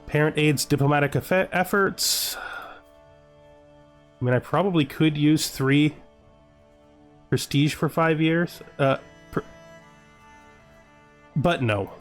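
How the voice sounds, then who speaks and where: A man's voice: a little high, slow, long pauses. A man giving casual let's play commentary on a strategy game, musing aloud and reading game text out. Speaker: gaming video host. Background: background music.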